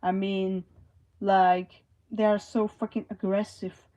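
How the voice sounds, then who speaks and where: Slow, hesitant woman streamer. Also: mid-range voice, level tone, warm tone. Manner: hesitant, many fillers. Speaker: livestreamer. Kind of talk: live stream, reading and answering chat.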